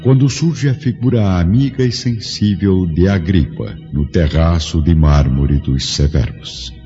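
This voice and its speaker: A man narrating a radio drama in a grave, measured way. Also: sits low, dark tone, breathy edge. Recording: dull lo-fi recording over music. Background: music score.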